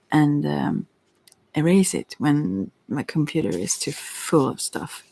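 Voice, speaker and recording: A woman speaking softly, at times in a whisper, in a relaxed, meandering ASMR tarot ramble with hesitant asides. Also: low voice, background noise. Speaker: host, tarot reader. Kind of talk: soft-spoken rambling ASMR tarot chat.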